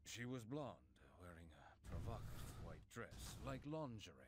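An actor playing a suave older bartender with a hidden supernatural nature, measured and formal, in a video game cutscene. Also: male voice, lowish voice, expressive, noisy background, natural voice. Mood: smug, amused. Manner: measured, formal, evasive when pressed. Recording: loud background music. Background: game music.

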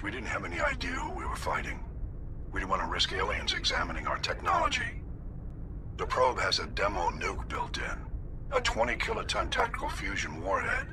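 A man playing a grave, authoritative senior admiral giving a formal, deliberate mission briefing in a video game. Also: low voice, full character voice. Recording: clearly noisy background under the voice.

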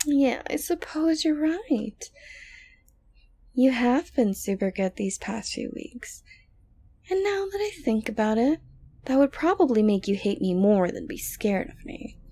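Obsessive yandere girlfriend, acted by a woman, ASMR roleplay, highish pitch, breathy with creaky edges, coaxing, creepily tender, sadistic. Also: very animated, mellow, heavily acted. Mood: coaxing, menacing. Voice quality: breathy, often dropping to a whisper. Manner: slow, coaxing, condescendingly sweet. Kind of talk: ASMR roleplay monologue to the listener.

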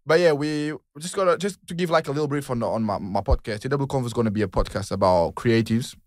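A man's voice: medium pitch, fast, no echo, studio quality. A man in his twenties from Portugal, hosting a podcast in a casual, rambling way with slang and lots of fillers. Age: 20s. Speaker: podcast host. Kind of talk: casual chat.

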